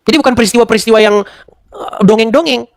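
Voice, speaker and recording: Brief: high-pitched man, dry close sound, sarcastic debate host who lectures and needles. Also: fairly even intonation, fast, vocal fry, no echo. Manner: mocking, lecturing, rhetorical questions. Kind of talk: heated interfaith debate.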